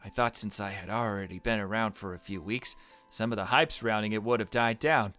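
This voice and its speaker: Male let's play host, lowish voice, reads game text aloud with casual asides over music. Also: a little fast, a bit bright. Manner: casual self-commentary between read passages. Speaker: gaming video host.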